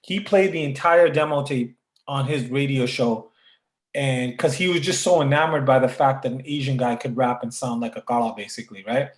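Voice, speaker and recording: A man from Canada, a rapper guest telling his story in a relaxed way, with many filler words. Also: mid-range voice, normal speed, roomy sound. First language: Punjabi. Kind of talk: casual interview over a call.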